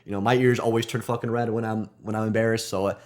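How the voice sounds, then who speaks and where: A man's voice: lowish voice, level tone, fast talker, a little mellow. A man co-hosting a nursing podcast, talking in earnest casual chat and often repeating words as he restarts. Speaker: nurse podcast co-host.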